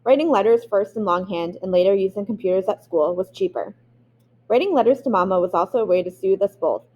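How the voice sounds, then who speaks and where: A woman's voice: medium pitch, warm tone, highs cut off, a little hiss or hum. A woman reading a memoir aloud. She reads expressively and sometimes stops to restart a word. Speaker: audiobook reader.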